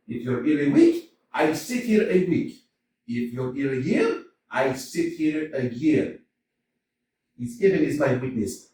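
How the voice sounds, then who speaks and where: An actor playing an irate middle-aged landowner hounding a debtor, ranting in a staged reading. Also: male voice, on the high side. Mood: defiant. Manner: ranting, exclamatory, swinging between mutters and shouts. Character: irate middle-aged male landowner chasing a debt, blustering. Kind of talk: staged play reading, theatrical. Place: small community theater stage. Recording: echoey theater from far off, faint hiss.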